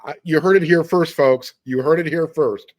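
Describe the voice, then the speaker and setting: A man's voice: fairly high pitch, normal speed. An American man hosting a sports podcast, chatting casually and emphatically, repeating phrases for stress. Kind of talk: casual sports interview chat.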